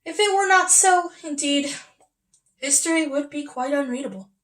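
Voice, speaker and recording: Woman playing haughty young society lady, high voice, affected politeness, catty, emphatic, stage comedy of manners. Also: normal speed, fairly bright tone. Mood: lofty.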